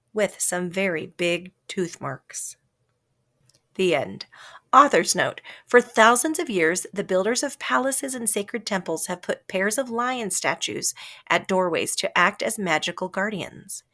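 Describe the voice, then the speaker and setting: A woman reading a children's story aloud as scripted narration, with a dramatic, expressive delivery that varies for effect. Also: medium pitch. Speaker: storybook reader.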